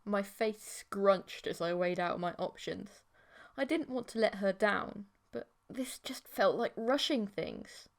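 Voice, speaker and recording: A woman narrating a comic first-person story as an audiobook, in a wry, expressive manner. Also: mid-range voice, slow pace.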